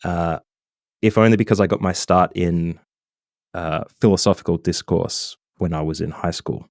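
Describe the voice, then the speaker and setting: Deep-voiced man, mild Australian accent. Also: normal speed, gravelly, no echo, clean studio sound. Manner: relaxed and chatty, with many ums and self-corrections. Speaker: podcast guest. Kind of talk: casual interview chat.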